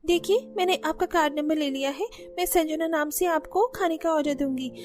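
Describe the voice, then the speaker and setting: A woman voicing young daughters-in-law in a cartoon, bright and smug, quick to plead. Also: sits high, close to an everyday voice. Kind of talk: cartoon voice acting.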